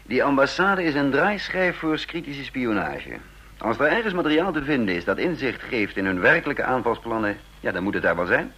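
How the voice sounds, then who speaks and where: An actor playing a wry, introspective spy living under a false identity, narrating and talking in a measured, reflective way in a radio play. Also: male voice, mid-range voice, big pitch swings, bright, forceful tone, breathy edge, heavily acted. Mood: alert, dry. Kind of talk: first-person narration and dialogue in Dutch radio play.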